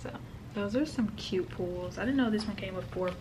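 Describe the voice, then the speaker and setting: A young woman vlogger with a hoarse, raspy voice after a concert, chatting to camera in a gushing, chatty way full of "like" and "literally". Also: mid-range voice, normal speed. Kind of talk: casual vlog chat to camera. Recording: clear background ambience noise.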